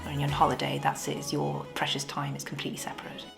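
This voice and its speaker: A woman in her forties talking in a relaxed, hesitant way about getting away, with music behind. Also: low voice, fast talker, creaky. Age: 40s. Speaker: interviewee. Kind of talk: casual interview answers.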